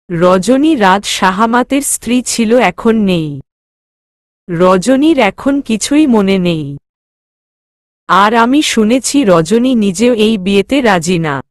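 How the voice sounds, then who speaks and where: A woman's voice: mid-range voice, no echo, studio quality. A woman voicing a hot-headed, stubborn, lovesick young son who speaks insistently and defiantly in an audio story.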